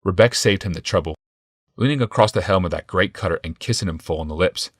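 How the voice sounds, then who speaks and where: A man's voice: sits low, quick pace, husky, no echo, studio quality. A man reading fantasy fiction aloud as a dramatic audiobook narrator.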